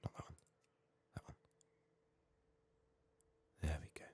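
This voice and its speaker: A man who is ill and hoarse, speaking in a subdued, halting, soft ASMR murmur that slips into whispers as he narrates a game. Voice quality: husky, raspy, breathy. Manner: subdued, hushed, halting with long pauses. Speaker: ASMR gaming streamer.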